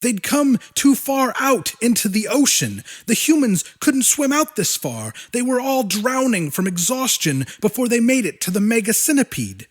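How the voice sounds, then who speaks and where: A man's voice: extremely high pitch, big pitch swings, moderate pace, few pauses. A man reading an audiobook as its narrator, in a dramatic storytelling style.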